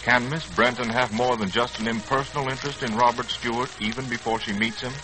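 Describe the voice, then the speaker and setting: A man's voice: mid-range voice, steady tone, a little fast, rough recording. A man announcing a 1940s radio serial in a dramatic, questioning style. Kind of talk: scripted 1940s radio narration. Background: music.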